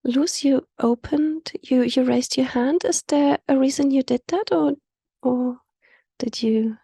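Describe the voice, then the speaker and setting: A woman from Africa, an Afrikaans speaker, hosting an online community call and introducing guests in a halting way that often drops into a soft whisper. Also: high-pitched, creaky, slightly rough, dry recording. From South Africa. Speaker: host and facilitator.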